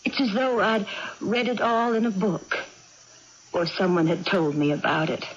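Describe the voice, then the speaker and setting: A woman acting in a 1980s radio drama as a practical, contented aging aunt who muses deliberately and matter-of-factly, with pauses. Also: medium pitch, lively intonation, lightly acted. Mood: musing, resigned. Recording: dull, noisy old radio broadcast.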